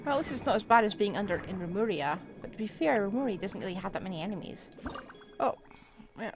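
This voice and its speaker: A woman's voice: medium pitch, expressive, fast, background noise. A woman streamer giving casual live game commentary, musing aloud and asking herself questions, with faint game music behind her.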